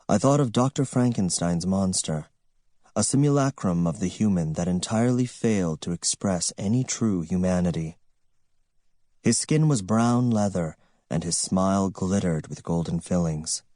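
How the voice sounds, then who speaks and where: A man narrating literary fiction from a script in a measured, expressive storytelling manner. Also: lowish voice, dry recording, clean studio sound. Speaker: audiobook narrator.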